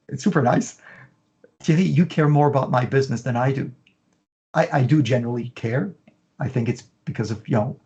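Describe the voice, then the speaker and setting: Middle-aged male consultant, mid-pitched, slightly dull online-call sound, talks in long lists. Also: a little mellow. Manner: talks in long lists with many "you know" fillers. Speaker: fashion business consultant. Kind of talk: interview.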